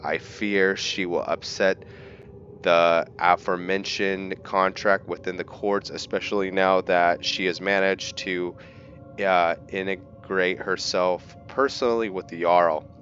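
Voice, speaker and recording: Deep-voiced man, slow casual gameplay commentary. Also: little pitch movement, fairly bright tone. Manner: halting when reading aloud, stumbling over words. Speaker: video game let's player. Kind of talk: casual gameplay commentary, reading in-game journal aloud. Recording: dull lo-fi mic, light background noise.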